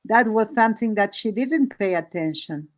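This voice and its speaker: A woman who is not a native English speaker tells an improvised story casually, halting phrase by phrase with searching pauses. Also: mid-range voice, animated. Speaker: host of an art and story stream.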